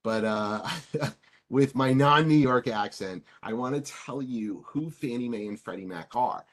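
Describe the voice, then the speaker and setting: A man's voice: medium pitch, unhurried. A man giving a webinar talk on mortgage lending for condos, speaking in a chatty way with frequent fillers and stammered restarts over a video call. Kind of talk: presentation in a web seminar.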